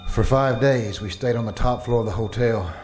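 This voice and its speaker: A man's voice: medium pitch, normal speed, lightly acted. A man playing an anxious plague survivor who narrates his ordeal in an old-time radio thriller, performed live. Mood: grave narration. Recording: live stage recording with music.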